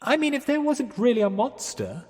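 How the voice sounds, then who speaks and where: A man's voice: very high-pitched, sing-song, normal speed, darkish tone, breathy edge, heavily acted. An actor playing a jittery, genteel young Englishman of the 1920s in a radio play, dithering politely with a plummy English accent. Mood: sheepish. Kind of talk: radio theatre acting. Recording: long echoing hall, music behind.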